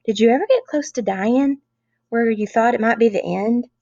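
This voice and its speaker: A woman's voice: fairly high pitch, moderate pace, darkish tone, dry recording, pitched above the performer's own voice. Woman playing a plucky, folksy young country girl. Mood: wary. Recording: dull, lo-fi close recording.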